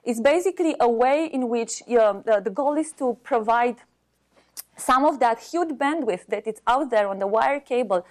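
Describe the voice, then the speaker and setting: A woman with a Romanian accent giving an unscripted academic seminar talk as a university researcher, halting as she searches for words. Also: fairly high pitch, expressive.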